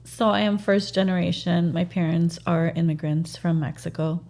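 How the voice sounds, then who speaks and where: Realtor lady, spanish first language, low gravelly voice, lots of ums, earnest. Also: level tone, darkish tone. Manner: earnest, halting with frequent fillers. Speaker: realtor, interview guest. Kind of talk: casual interview conversation.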